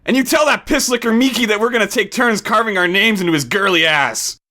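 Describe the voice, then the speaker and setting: A loud, snarling young thug, pitched high. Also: male voice, fairly quick. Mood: snarling, threatening. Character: jeering young street gang thug, aggressive and crude. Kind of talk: audiobook character acting.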